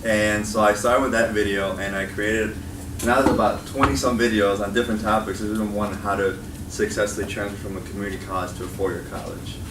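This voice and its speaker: A man's voice: lowish voice, little pitch movement, quick pace. A young man with a Chicago accent, giving a casual talk to college students as a guest speaker and often checking in with "right?". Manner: conversational, often tags points with "right?". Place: meeting room at a college. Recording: echoey room from far off, noisy.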